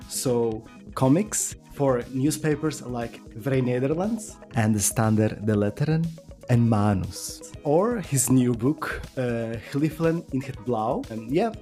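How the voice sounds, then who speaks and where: Mellow-voiced man introducing a guest. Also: mid-range voice. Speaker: podcast co-host. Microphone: video call.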